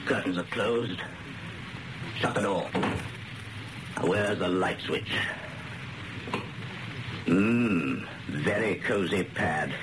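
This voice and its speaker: An actor playing a curt, commanding and dryly wry Major in a radio thriller. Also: male voice, low voice, very slow, pauses often. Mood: cool, wry. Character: brisk, bossy male Major running covert break-ins. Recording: noisy old radio drama recording.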